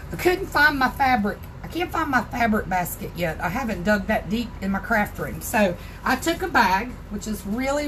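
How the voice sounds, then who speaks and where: A woman with a Southern US accent and a hoarse, raspy voice, chatting casually through a live crafting tutorial from her home craft room and greeting viewers by name. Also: mid-range voice, a little hiss or hum, low-quality recording.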